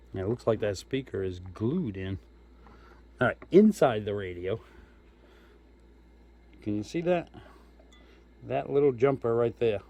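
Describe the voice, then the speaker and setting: A man's voice: mid-range voice, warm tone. A man giving a casual hands-on product demo, explaining step by step in an informal, folksy way. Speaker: host of a radio gear review channel.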